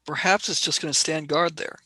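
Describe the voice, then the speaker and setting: A man's voice: fairly high pitch, fairly even intonation, brisk, sharp tone, dry recording. Man playing an eager young companion. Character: brave young man, space traveller's companion. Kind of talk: amateur radio play acting.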